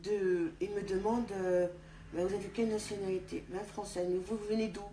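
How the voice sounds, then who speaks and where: A woman from France, a guest in an interview, speaking deliberately with frequent restarts. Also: mid-range voice, little pitch movement, warm tone. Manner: deliberate, halting with many restarts. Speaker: interview guest, party official. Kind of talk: conversational interview. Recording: echoey room with faint background noise.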